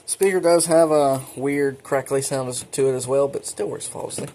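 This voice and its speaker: Man, casual hobbyist host, mid-range voice, informal halting delivery, enthusiastic asides, faint background noise. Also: medium pitch, steady tone. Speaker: hobbyist video creator and host. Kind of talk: casual show-and-tell narration of a radio collection. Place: indoors at home.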